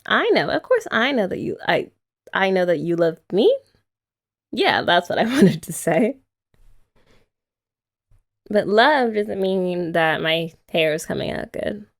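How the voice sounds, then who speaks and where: A woman's voice: medium pitch, big pitch swings, measured pace, pauses often. A young woman voicing a playful, affectionate girlfriend in an ASMR roleplay, chatting casually with giggles and filler words. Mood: tender.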